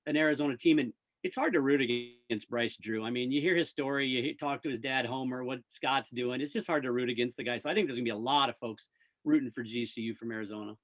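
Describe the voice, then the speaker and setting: Fast-talking American man in sports chat. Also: mid-range voice. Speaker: radio play-by-play announcer, guest. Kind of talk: casual sports interview chat.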